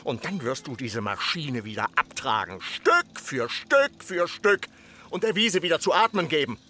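An actor playing a stern, threatening villager who insistently admonishes and demands order, in a literary radio drama. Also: male voice, fairly high pitch, big pitch swings, moderate pace, sharp tone, a little hiss or hum.

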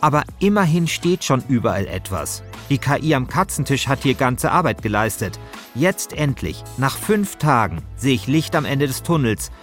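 Male host, mid-pitched, narrating and chatting informally over soft music. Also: sing-song, normal speed. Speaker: podcast host. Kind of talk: narration and casual chat.

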